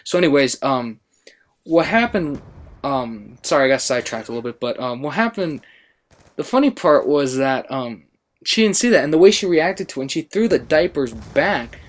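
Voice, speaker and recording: A man's voice: on the high side, expressive, normal speed. An American man casually telling a story over gameplay, rambling and often interrupting himself. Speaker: gaming channel storyteller.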